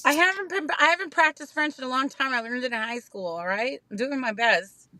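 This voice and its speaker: A woman's voice: high-pitched, brisk, pushed and bright, dry recording. A woman co-hosting a casual live stream from inside her parked car, joking and teasing herself as she chats. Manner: joking, self-mocking, chatty. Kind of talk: casual late-night chat.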